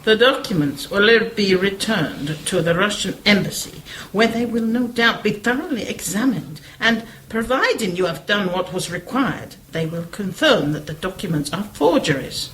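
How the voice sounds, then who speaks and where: A woman playing a cold, deliberate, menacing spymaster bent on revenge in a radio play. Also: medium pitch, very animated, fairly bright tone, low-quality recording. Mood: cold, scheming. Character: scheming vengeful female foreign spymaster. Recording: clear background noise.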